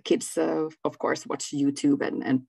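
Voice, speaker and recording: A woman from Finland with Swedish as her first language and a Finland-Swedish accent, chatting haltingly as an interview guest on a video call. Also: low voice, level tone, creaky, dark tone, breathy edge, dry recording. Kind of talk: casual conversational interview. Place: small room at home, indoors.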